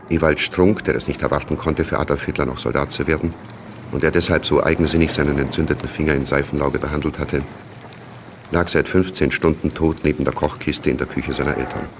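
Grave radio drama narrator, extremely deep, matter-of-fact, noisy recording. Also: male voice. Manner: measured, factual chronicle delivery. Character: sober male chronicler of wartime events. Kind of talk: scripted radio play narration. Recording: clear background noise.

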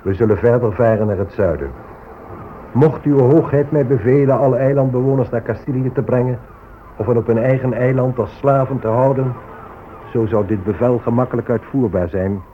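An actor playing a calculating male explorer and commander in a radio drama, measured and formal. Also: medium pitch, natural voice. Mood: formal, commanding. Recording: narrow, faintly noisy old radio recording.

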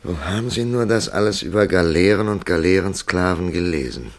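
A deep, wry literary narrator. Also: male voice, animated, dry recording. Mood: curious, sceptical. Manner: measured, wry, questioning. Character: urbane, sceptical male writer, narrator and mentor. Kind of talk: radio play narration and dialogue.

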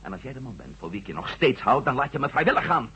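An actor playing a headstrong, indignant reporter and former astronaut in a radio drama, emphatic and sardonic, with a husky voice. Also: male voice, mid-range voice, sing-song, fast talker, bright, forceful tone, full character voice. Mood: determined. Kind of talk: Dutch radio drama acting. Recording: dull, lo-fi old radio recording.

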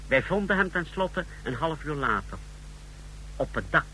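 An actor in a radio drama playing an efficient, deferential officer of a totalitarian lab, explaining in clipped phrases. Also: male voice, fairly high pitch, lively intonation, normal speed, bright, forceful tone, poor audio. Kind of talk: radio drama acting in Dutch. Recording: radio drama with faint noise.

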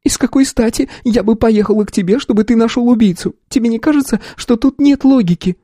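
A man voicing the narrator's young adult sister, who speaks in a high-pitched, emotional way. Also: quick pace, mellow, dry recording, studio quality. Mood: upset, tearful.

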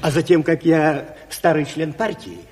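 An actor playing a weaselly, swaggering bathhouse commissar in a comic radio drama, whiny, indignant and full of pompous slogans. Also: male voice, on the high side, steady tone, a little slow, a little mellow, lightly acted. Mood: oily, pompous. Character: weaselly, swaggering bathhouse commissar, self-righteous deserting husband. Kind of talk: comic stage-style radio drama acting. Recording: hall reverb of 1970s radio play.

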